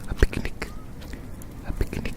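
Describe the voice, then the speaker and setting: A person in their thirties whispering gently and unhurriedly in an ASMR style, rambling and repeating phrases over and over. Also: a little slow. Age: 30s. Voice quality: soft unvoiced whisper. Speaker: ASMR host. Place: outdoors.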